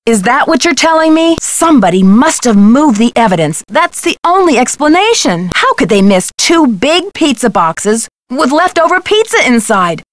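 A woman's voice: high voice, sing-song, moderate pace, hardly any pauses, no echo. A woman playing a plucky, determined young amateur detective in a video game, earnest and inquisitive. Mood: insistent, alarmed. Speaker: voice actress in a detective adventure game. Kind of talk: scripted video game dialogue.

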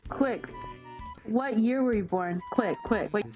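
A woman's voice: on the high side, slow, dull audio. A woman in her twenties teasing and laughing in casual online chat, with music behind. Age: 20s. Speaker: chat partner.

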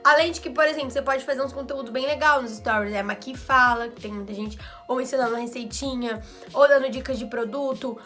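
A young woman vlogger, slightly hoarse from a cold, giving tips in chatty casual talk full of asides, filmed in her small bedroom studio. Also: high voice, fairly even intonation, bright, forceful tone, faint music behind. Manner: chatty, quick asides and fillers. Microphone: camera in front, head and shoulders framing.

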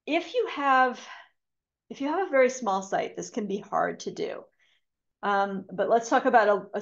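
A woman's voice: medium pitch, creaky. A woman giving a conservation webinar talk over a video call, speaking hesitantly with many ums and restarts. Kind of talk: webinar lecture with slides. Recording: dull lo-fi video call audio.

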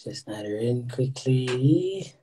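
A man's voice: mid-range voice, lively intonation, takes it slowly, dark tone, slight background noise. Upbeat young man hosting a live call. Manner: upbeat, enthusiastic, many fillers. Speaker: host. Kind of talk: casual livestream interview. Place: small indoor room. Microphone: phone front camera, video call.

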